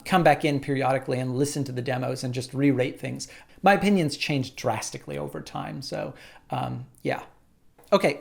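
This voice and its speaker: A man casually explaining his songwriting workflow in a relaxed tutorial, with frequent "uh" and "like". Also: on the high side, creaky. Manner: relaxed, lots of "uh" and "like".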